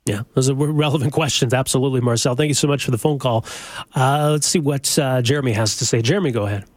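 A male radio host taking calls, talking at a brisk clip and probing each caller with questions. His voice sits close and dry on the mic, with no room sound around it. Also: mid-range voice, no echo. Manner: quick, conversational, questioning callers. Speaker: radio call-in show host. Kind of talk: call-in talk radio.

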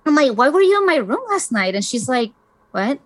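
A woman's voice: high voice, very animated, fairly quick, dry recording. A woman in her thirties from Chile, telling a personal story in a casual, chatty way with many fillers. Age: 30s. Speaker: guest.

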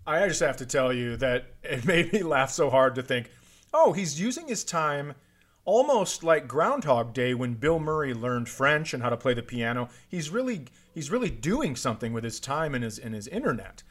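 A man's voice: medium pitch, lively intonation, normal speed. A man bantering casually as a comedy podcast co-host, teasing and swearing as he jokes. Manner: teasing, joking, profane.

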